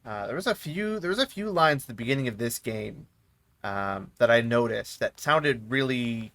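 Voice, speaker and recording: A man's voice: medium pitch, lively intonation, moderate pace, a bit bright, dry recording. A man who is not a native English speaker giving casual, rambling let's play commentary. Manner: rambling, mumbling asides with fillers. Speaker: gaming streamer.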